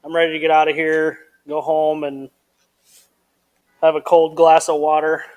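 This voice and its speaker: A man with a somewhat high voice co-hosts a live stream in easy, casual chat. He is blunt and laid-back, and he chuckles often between thoughts. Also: monotone, moderate pace, darkish tone, rough recording.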